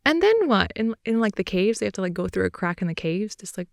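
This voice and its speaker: A young woman improvising an intimate ASMR girlfriend roleplay, rambling in a playful, slangy way and slipping between soft whispers and more animated talk, close to the mic. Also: mid-range voice, quick pace, gravelly, no room sound. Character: wide-awake chatty girlfriend, silly and playful. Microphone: close microphone, dry sound.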